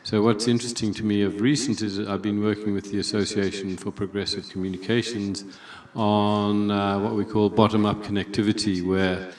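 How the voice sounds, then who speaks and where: A man speaking at a conference session in a measured, steady way, with frequent pauses and fillers. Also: fairly low pitch. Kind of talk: conference remarks. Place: large conference hall. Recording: echoey room, distant mic.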